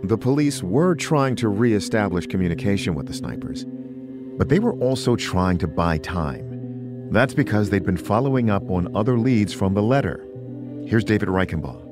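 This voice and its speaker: A male podcast narrator with a deep voice tells a scripted true-crime story. A music bed plays clearly behind him. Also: animated, moderate pace.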